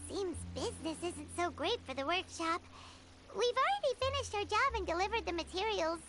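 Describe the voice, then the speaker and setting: A woman voicing a tiny floating female companion in a video game, chirpy and cheeky, with a squeaky, childlike voice. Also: animated, moderate pace. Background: game music.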